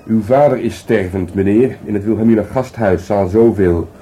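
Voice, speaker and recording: An actor playing a grumbling but playful police detective in a radio play, speaking informally with a Netherlands Dutch accent. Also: male voice, on the low side, moderate pace, mellow, natural voice. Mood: grumbling, sulky. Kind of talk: naturalistic radio play dialogue. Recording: faintly noisy radio play recording.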